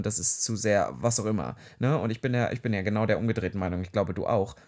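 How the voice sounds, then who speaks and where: Man, podcast co-host, quick delivery, low-ish voice, jokey. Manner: fast, animated, opinionated, jokey. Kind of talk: casual chat.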